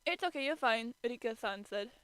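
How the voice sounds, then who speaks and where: A woman with a bright, fairly high voice reads a story aloud as an amateur streamer. She trips over words and plays up her exasperation. The sound is dry and close. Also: expressive, normal speed, clean tone, dry recording. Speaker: streamer reading fan fiction aloud. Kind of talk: amateur dramatic reading with exasperated asides.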